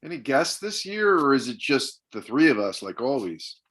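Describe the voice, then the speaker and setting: A man's voice: mid-range voice, sing-song, moderate pace, a bit bright, husky. A man playing a blunt, sarcastic, exasperated father of a grown son in a comedy table read.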